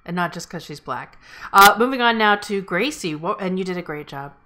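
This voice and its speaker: A woman's voice: on the low side, animated, a little fast, pushed and bright. A woman hosting a casual group feedback discussion, speaking informally. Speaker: table read host.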